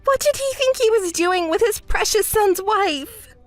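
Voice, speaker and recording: A woman's voice: lively intonation, a little slow, dry recording, faint music behind. A woman voicing a spoiled, entitled young woman in a melodramatic story, whiny and demanding. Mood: furious. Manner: whiny, demanding, shrill outbursts.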